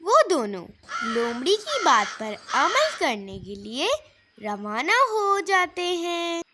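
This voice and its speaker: A woman tells a children's story in a very high voice. The intonation swings widely and the pace is slow and measured. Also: big pitch swings, noisy background. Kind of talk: scripted children's story narration.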